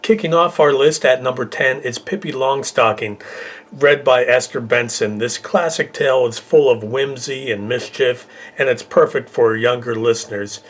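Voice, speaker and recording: A man's voice: medium pitch, moderate pace. A man hosting a video, presenting a list in a casual, scripted way. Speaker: video host.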